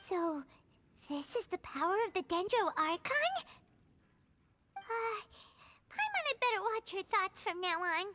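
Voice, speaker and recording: A woman voicing a tiny, excitable female companion who speaks of herself in the third person. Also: very high-pitched, unhurried, long pauses, exaggerated voice. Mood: wary. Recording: game audio with loud background noise.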